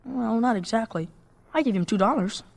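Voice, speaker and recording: An actor playing an excited, earnest young boy in a radio drama, gushing eagerly in boyish slang. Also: medium pitch, a little fast, gravelly. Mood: subdued, matter-of-fact.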